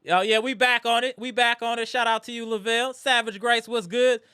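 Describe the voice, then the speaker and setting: A man hosting a live postgame basketball reaction stream, animated and greeting viewers in the chat, his voice strained from yelling. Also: very high-pitched, brisk, smooth, dry recording, clean studio sound. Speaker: livestream host.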